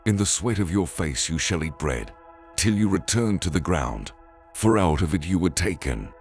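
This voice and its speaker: A man's voice: low-pitched, lively intonation, moderate pace, darkish tone. A man playing God as a stern, solemn and authoritative judge in a dramatized Bible reading. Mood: solemn condemning. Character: God, stern commanding male judge pronouncing curses. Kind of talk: dramatized Bible audio acting. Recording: background music under the voice.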